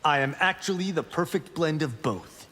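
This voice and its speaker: A man's voice: fairly high pitch, expressive, normal speed, a bit bright. A man playing a brash, self-assured young monk fighter in dramatic video game dialogue. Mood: cocky, challenging. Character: cocky, quick-witted young male monk fighter. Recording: long reverberant hall echo.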